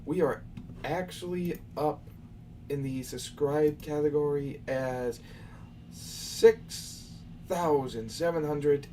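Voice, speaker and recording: Young man, casual rambling Q&A host, dark mellow voice, set back from the mic with a faint hiss. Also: a little high, slow. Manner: exasperated, lecturing his audience, loose and rambling. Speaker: channel host answering viewer questions. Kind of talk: casual live Q&A chat. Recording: far from the mic with faint background noise.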